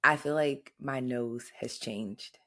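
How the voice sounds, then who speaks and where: A woman in her thirties with a notably low voice, chatting on a podcast from her own home. She tells stories in an animated, talkative way and slips into little voiced quotes. The talk is loose and conversational. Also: slow pace. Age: 30s. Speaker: podcast co-host. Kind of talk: casual chat. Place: her home, in front of a flower wall.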